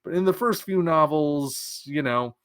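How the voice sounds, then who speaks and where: A man's voice: on the high side, measured pace, fairly bright tone, dry recording, clean studio sound. Man musing over sci-fi news. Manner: musing, thinks aloud with restarts and fillers. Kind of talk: casual opinion commentary.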